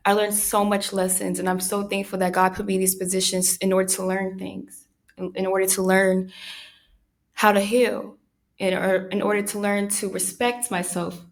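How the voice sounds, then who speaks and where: Young woman talking soft and slow, lots of ums and likes, soothing voice, mid pitch. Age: young adult. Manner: soft-spoken, halting, with many fillers. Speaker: podcast host. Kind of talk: reflective personal monologue.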